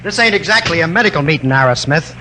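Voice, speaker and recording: An American actor playing a gruff, blunt rural townsman in a 1940s radio drama. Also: on the high side, expressive, fast talker, pushed and bright. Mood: stern warning. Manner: blunt, folksy. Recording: noisy vintage 1940s radio recording.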